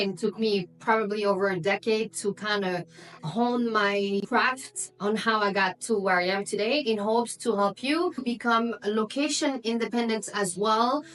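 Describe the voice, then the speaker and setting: A woman's voice: on the high side, a bit bright, low-quality recording. A woman in her thirties, raised in Europe after an early childhood in North Africa and not a native English speaker, talking straight to camera in an upbeat, conversational vlog with frequent fillers. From Morocco, raised in Belgium. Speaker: vlogger, entrepreneur. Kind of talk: casual direct-to-camera vlog.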